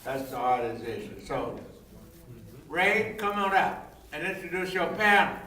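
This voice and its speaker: An older man hosts a public forum with unhurried, very slow delivery. His voice sits slightly high, and he repeats phrases for emphasis. He is heard at a distance from the microphone. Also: sing-song, pushed and bright. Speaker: forum host and reverend. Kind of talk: informal public announcements. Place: large echoing indoor venue, public forum. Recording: echoey room, distant microphone, faint noise.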